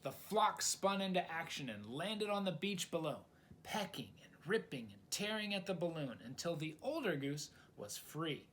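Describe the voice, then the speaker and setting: A man reading a children's story aloud in an expressive, dramatic storytelling style. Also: a little high, normal speed, a little hiss or hum. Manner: dramatic, asks the listener questions.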